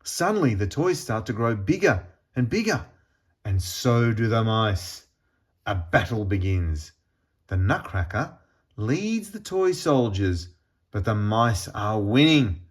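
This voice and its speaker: A man reading a children's storybook aloud in an expressive, deliberate storytelling manner with pauses. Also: fairly low pitch. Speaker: storybook reader.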